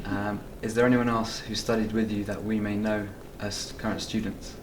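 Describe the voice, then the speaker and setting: A young man who presides over a student society, hosting an interview in a quick, cheerful way with plenty of mm-hmms. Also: lowish voice, little pitch movement, roomy sound, distant mic, background noise. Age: young adult. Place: dental school, indoors.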